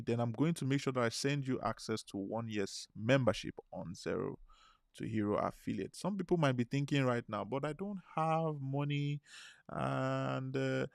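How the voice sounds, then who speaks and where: A man runs a live webinar, pressing his listeners hard and repeating phrases to drive them to act. His voice sits at an ordinary middle pitch. He is recorded close on a dry mic with no room sound. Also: dry recording. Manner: urgent, persuasive, repeats phrases. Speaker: webinar host.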